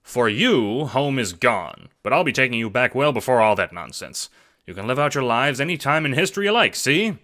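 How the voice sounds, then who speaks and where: A brash gangster schemer for an audio drama. He talks in cocky, jovial old-time wiseguy fashion, with a bright, punchy edge. He sounds sure of himself and out for his own gain. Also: medium pitch, sing-song, moderate pace. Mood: insistent. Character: scheming, brash, egotistical male gangster adventurer.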